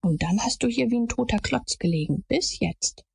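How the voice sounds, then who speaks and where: A woman voicing a cold-hearted, vengeful male murderer in an audiobook, with a gruff, coarse way of talking. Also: on the high side, lively intonation, moderate pace, a little mellow, voice pushed up, full character voice. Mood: cold, manipulative. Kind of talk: audiobook character acting.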